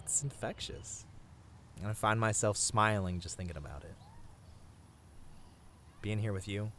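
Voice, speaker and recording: A man playing a tender, sappy boyfriend in a romantic ASMR roleplay, speaking softly and earnestly to the listener with small hesitant stammers. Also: low voice, a little hiss or hum. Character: tender, sappy boyfriend confessing love on a picnic.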